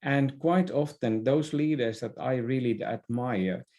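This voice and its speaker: Male interview guest, earnest and reflective. Mellow tone, unhurried pace, halting phrasing. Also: mid-range voice. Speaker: podcast guest, business expert. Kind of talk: conversational interview, explaining ideas.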